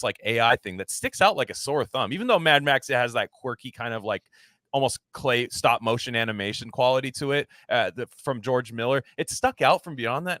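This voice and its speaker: A man co-hosting a podcast, chatting casually about films in an emphatic, halting, sometimes profane way full of filler words. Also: mid-range voice, bright, forceful tone, no echo, clean studio sound. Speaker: podcast co-host. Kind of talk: casual film discussion.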